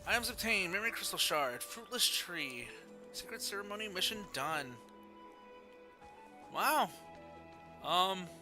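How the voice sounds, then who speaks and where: A man's voice: sits high, expressive, a little slow, long pauses, sharp tone, soft background music. A man hosting a casual game livestream, chatting and reading story text aloud. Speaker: video game streamer.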